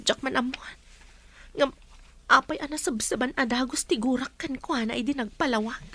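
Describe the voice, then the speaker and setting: A woman's voice: high voice, moderate pace, sharp tone. A woman playing a hesitant, guarded young mother in an audio drama.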